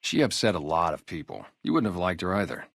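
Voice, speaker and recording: A low-pitched, streetwise male sniper from a post-apocalyptic video game. He is a guarded, loyal former gang member turned town guard. His casual talk moves freely up and down, recorded close and dry. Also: very animated, a little fast, vocal fry, a bit bright, breathy edge, dry recording, heavily acted. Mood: bitter, reflective. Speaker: security guard and former soldier. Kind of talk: video game character dialogue, naturalistic.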